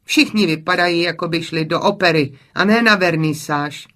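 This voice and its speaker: A woman's voice: mid-range voice, sing-song, pitched above the performer's own voice. A woman narrator voicing an impatient, proud, outspoken young French woman sculptor in an audiobook, with emphatic, exclamatory delivery. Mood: scornful.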